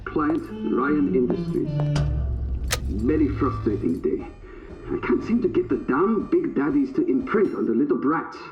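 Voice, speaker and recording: Impatient man of science, clipped, cold, animated intonation, game diary, music behind. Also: on the high side, measured pace, roomy sound, highs cut off. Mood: cold, frustrated. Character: irritable, cold-hearted male scientist. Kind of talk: video game audio diary.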